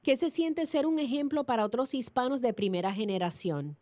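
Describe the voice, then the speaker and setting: A woman's voice: fairly high pitch, a little fast, dark tone. A woman hosting a radio interview, asking prompting questions and nudging the guest along with short encouragements. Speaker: radio host. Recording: radio broadcast.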